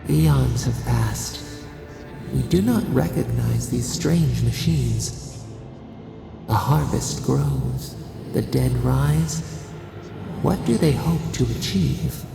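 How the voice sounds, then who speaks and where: A performer voicing an ancient spirit in a video game, speaking cryptically and solemnly in halting fragments as a ghostly echoing voice in the head. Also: mid-range voice. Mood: cryptic, brooding. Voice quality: creaky, gravelly. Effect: long ghostly reverb. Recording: heavy reverb under music. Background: music.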